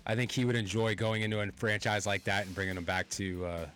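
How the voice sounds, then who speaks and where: A man's voice: lowish voice, flat delivery, fast, fairly bright tone, soft background music. A man hosting a radio sports show, chatting casually, joking and reading out local event listings in a studio. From New Hampshire.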